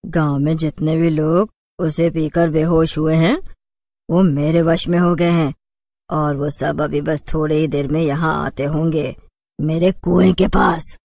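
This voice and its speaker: Woman voicing a slow, low, gloating witch. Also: steady tone, darkish tone. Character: sly, menacing female witch who eats villagers.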